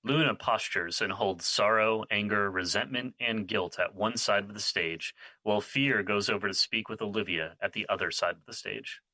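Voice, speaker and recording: A man's voice: on the low side, moderate pace, hardly any pauses, bright, forceful tone, no echo. A man playing the narrator of an audio drama, reading scripted stage directions. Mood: neutral narration.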